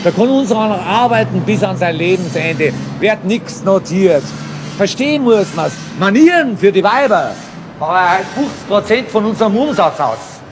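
An actor with a Bavarian accent playing a guarded, grumbling workshop employee in a radio crime drama, speaking curtly. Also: male voice, high-pitched, expressive, moderate pace. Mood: bitter, sarcastic. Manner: curt, grumbling. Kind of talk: 1970s German radio crime drama acting. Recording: noisy old radio play recording.

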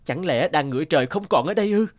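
A man's voice: fairly high pitch, animated, fast talker, music in the background, close to an everyday voice. An actor playing a devoted, polite young male violinist in a cartoon. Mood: earnest. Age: young adult. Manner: earnest, polite.

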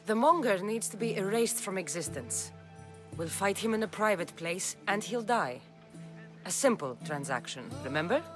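Woman doing a confident mercenary warrior, calm dry delivery, lowish voice for a woman, game music behind. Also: normal speed, lightly acted. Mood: cool. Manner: calm, dry and self-assured. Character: cool, confident female mercenary warrior, adult. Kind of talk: video game cutscene acting. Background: game score.

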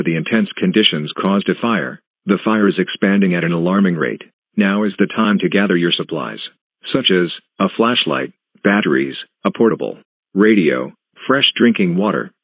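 A man reading a scripted emergency alert as an announcer, in a flat, measured, synthetic-sounding delivery with clipped phrases. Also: deep voice, no echo. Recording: muffled, narrow phone-like broadcast sound.

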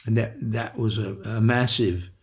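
An older man, a veteran voice actor, reminiscing in an interview with a halting, repetitive way of talking and soft chuckles. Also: on the low side, steady tone. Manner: halting, repeats words, chuckles softly.

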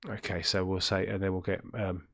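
A man with a deep, throaty voice from illness, talking through a coding tutorial in a rambling way, with many ums and self-corrections. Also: level tone, fairly quick, a bit bright. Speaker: game development tutorial host. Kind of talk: screen-recorded coding tutorial.

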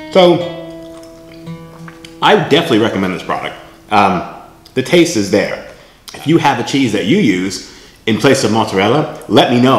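A chatty middle-aged man talks to a camera across his home kitchen counter, with a lively, up-and-down voice. The room sounds echoey, and faint music plays underneath. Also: mid-range voice. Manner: chatty, often tags lines with "right?"; demonstrates food while talking to camera. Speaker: vlog host. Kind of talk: casual kitchen vlog.

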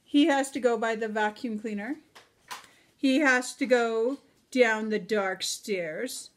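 A middle-aged woman reading a children's storybook aloud in an expressive, deliberate way for young listeners. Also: a little high.

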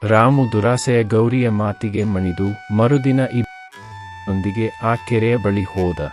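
A man narrating a cartoon moral story in an even, matter-of-fact way. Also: fairly low pitch, measured pace, faint music behind.

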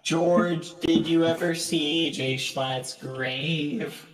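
A man's voice: a little high, fairly even intonation, extremely slow. A man voicing a whimsical, secretive game wanderer through a voice changer. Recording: distant, echoey, music over voice.

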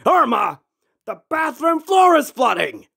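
A woman voicing gruff, theatrical male comic characters, bossy and easily exasperated. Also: sits high, very animated, unhurried, a bit bright, full character voice. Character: gruff, exasperated father; swaggering teenage bully boy. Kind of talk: comic fandub acting.

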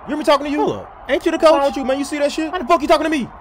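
A man's voice: very high-pitched, racing, slight background noise. A man doing comic sports voiceover full of profane, exclamatory trash talk. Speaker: comedy voiceover creator.

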